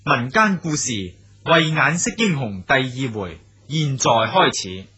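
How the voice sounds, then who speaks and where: Very raspy, bright male radio announcer. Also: medium pitch, lively intonation, takes it slowly, lo-fi sound. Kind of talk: formal scripted credits announcement.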